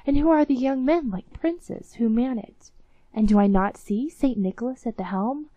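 A woman voicing an excitable fairy-tale king in a storybook reading. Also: medium pitch, moderate pace, no room sound, highs cut off, close to an everyday voice. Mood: astonished.

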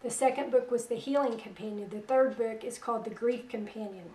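A middle-aged woman hosting religious internet radio. Her voice is weak after a stroke, and she talks in a soft, plain-spoken, unhurried way. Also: a little high, reverberant, recorded from a distance. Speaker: radio host. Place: small room at home, indoors. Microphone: headset.

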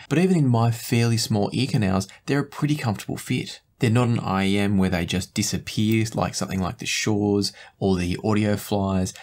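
Low-pitched man explaining as a reviewer. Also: a little mellow. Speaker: host, audio gear reviewer. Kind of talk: product review to camera, measured explanation. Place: small indoor home studio room.

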